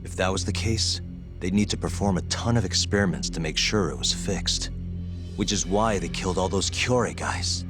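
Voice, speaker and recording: A man playing a calm, thoughtful private detective in an English-language video game, with a measured American delivery. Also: deep voice, natural voice. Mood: reasoning. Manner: measured, pensive, understated. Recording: music behind the voice.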